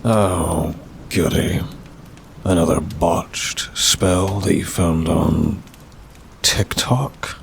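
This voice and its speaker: A man playing a weary, sardonic demon in an ASMR roleplay, with a dry, haughty delivery and drawn-out sighs. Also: sits low, vocal fry, a little hiss or hum, exaggerated voice. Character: weary, sardonic male demon, aloof bureaucrat of damnation.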